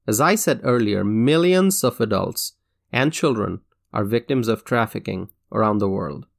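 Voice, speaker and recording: A man from Bangladesh hosting a solo talk show, speaking in an earnest, measured way with occasional restarts. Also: on the low side, animated, vocal fry. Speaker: podcast host. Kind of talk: reflective solo commentary.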